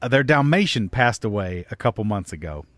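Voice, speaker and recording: Animated man telling a long joke. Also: fairly low pitch, fairly quick, dry recording. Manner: profane, acts out quoted dialogue. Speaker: comedy podcast storyteller. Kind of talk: casual comic storytelling, telling a long joke.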